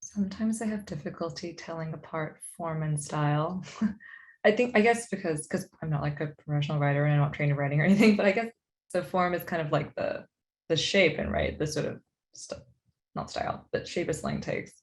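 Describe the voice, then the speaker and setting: A woman with a notably low voice gives a casual, reflective answer on a panel. She sounds hesitant and self-effacing, feeling her way through the idea. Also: lively intonation, a little fast.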